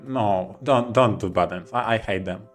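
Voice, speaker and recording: A man co-hosting a podcast in casual chat, with a halting, hesitant way of talking. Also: lowish voice, soft background music. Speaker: podcast co-host.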